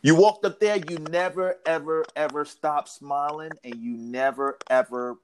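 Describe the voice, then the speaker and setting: A man hosting a casual chat, reacting quickly and recalling a show they did together. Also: fairly high pitch, steady tone, smooth. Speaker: podcast host.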